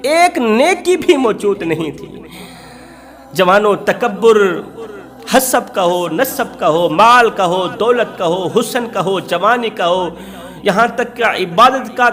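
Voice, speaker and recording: Male Islamic preacher, high voice, emotional storytelling sermon, urgent exhortation and insistent repetition. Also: a bit bright. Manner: impassioned, exhortatory, emphatic repetition in short rhetorical phrases.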